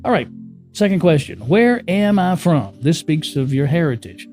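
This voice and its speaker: Chuckling man teaching in a warm voice. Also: on the high side, sing-song, normal speed, soft background music. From born in the US Northeast, raised in California. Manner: conversational, repeats words, chuckles often, asks rhetorical questions. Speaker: spiritual teacher. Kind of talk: unscripted spiritual teaching to camera.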